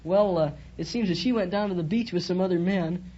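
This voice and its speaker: A fourteen-year-old American boy with a breaking voice, answering haltingly as a quiz contestant. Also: high voice. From Los Angeles, United States. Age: 14, teenage boy. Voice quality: breaking adolescent voice. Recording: dull vintage radio, noisy, studio audience.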